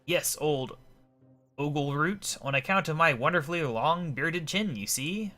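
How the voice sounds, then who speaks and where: Pompous old gent, animated. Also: male voice, a little high, a little slow, faint music behind, pitched above the performer's own voice. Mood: jolly, pompous. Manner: blustering, exclamatory, old-fashioned genteel speech. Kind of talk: voicing video game dialogue.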